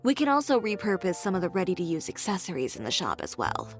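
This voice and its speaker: A woman's voice: on the low side, wildly swooping pitch, quick pace. A woman playing a blunt, fiercely determined young female fashion designer in a video game, composed, dry and self-assured. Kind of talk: video game character acting. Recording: game music behind voice. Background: game music.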